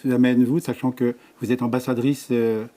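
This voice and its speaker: A woman's voice: a bass voice, little pitch movement, normal speed, warm tone. A woman interviewer who asks short questions and prompts her guests at a conference. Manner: brief prompting questions and backchannels.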